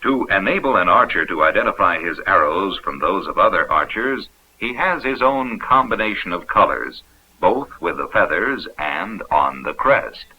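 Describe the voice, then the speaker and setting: Deep-voiced American man narrating, dated film sound. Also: animated, normal speed. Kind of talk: 1970s instructional film scripted narration. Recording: dull, noisy vintage 16mm film soundtrack.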